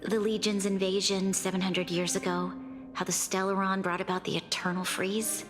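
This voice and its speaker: A woman playing dignified young female leader, solemn formal speech, video game dialogue, medium pitch, clear music underneath. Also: little pitch movement, moderate pace, lightly acted. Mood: grave, troubled. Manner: formal, grave, sincere.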